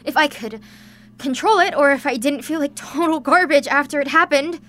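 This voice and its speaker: A woman's voice: high-pitched, normal speed, fairly bright tone. A young woman playing an anxious, stubborn, sarcastic superpowered character in a modern audio drama, guarded and informal in manner.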